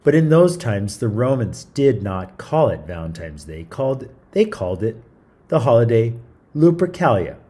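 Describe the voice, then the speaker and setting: A man's voice: mid-range voice, big pitch swings, moderate pace, warm tone. A man hosting a story time, reading a picture book aloud in an informal, chatty way with small stumbles and asides. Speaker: read-aloud storyteller, show host.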